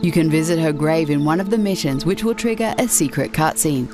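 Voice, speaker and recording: A woman with a low voice delivers scripted narration as the presenter of a video game list show. Music plays clearly behind her. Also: fairly quick.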